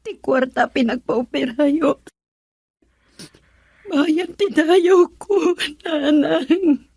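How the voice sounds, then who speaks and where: Sobbing, very high-voiced Filipino daughter. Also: female voice, very slow, vocal fry, warm tone, dry recording, studio quality, heavily acted. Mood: sobbing, pleading. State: crying. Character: tearful, self-sacrificing daughter begging her mother to forgive. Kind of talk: Filipino radio drama acting.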